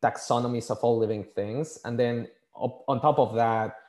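Mexican-accented man, halting and conversational. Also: mid-range voice, steady tone, a little mellow. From Mexico City, Mexico. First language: Spanish. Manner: halting, repeats words, many "you know" fillers. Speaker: podcast guest, scientist and engineer. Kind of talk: casual conversational interview answers.

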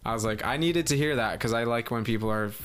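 Breathy young man, chatty. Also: medium pitch, quick pace, fairly bright tone, soft background music. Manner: chatty, filler-heavy storytelling. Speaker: guest musician. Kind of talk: casual podcast chat.

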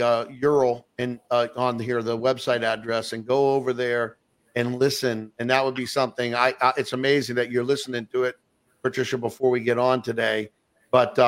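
A man's voice: medium pitch, flat delivery, moderate pace. A man hosting an online talk show, speaking with enthusiasm and rambling through many fillers and restarts. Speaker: podcast host. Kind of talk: casual online show chat.

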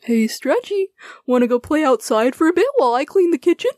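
A woman playing a gentle, soothing male giraffe who speaks calmly to reassure his little dog. The voice is high and swoopy, recorded close and dry. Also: slow, no room sound.

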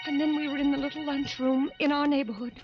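A woman's voice: high voice, steady tone, fast talker, lightly acted. An actress playing a frightened young wife in 1940s radio drama, pleading breathlessly and melodramatically as she recounts a nightmare. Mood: tense, haunted. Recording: muffled old radio recording with music.

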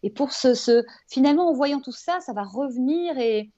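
A woman's voice: sits high, lively intonation, moderate pace, warm tone, dull audio. A woman from Belgium chatting as an interview guest in an enthusiastic, emphatic, rambling way about her ideas, in a casual motivational style. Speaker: interview guest, author of a self-help book.

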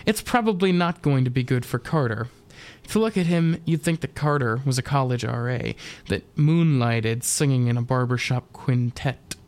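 A man narrating a scripted first-person dark comedy radio play in a deadpan, wry manner. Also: mid-range voice, normal speed. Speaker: narrator and performer.

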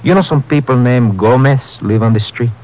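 An actor playing a cold, bullying robber in a 1950s radio drama. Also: male voice, mid-range voice, moderate pace, darkish tone, lightly acted, rough recording. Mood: furtive. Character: menacing Mexican knife-wielding male robber, cold and bullying. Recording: old 1950s radio broadcast recording.

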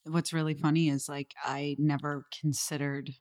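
Woman, from Chicago, comedian guest, very low voice, dry deadpan quips. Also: moderate pace. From Chicago, USA. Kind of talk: casual comedy interview chat.

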